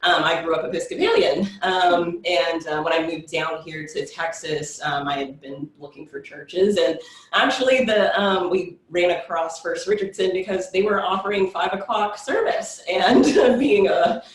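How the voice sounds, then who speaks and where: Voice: mid-range voice, measured pace. A young adult from South Carolina introducing themselves on a church panel, reflective and full of ums. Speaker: church welcoming director. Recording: echoey room, distant mic.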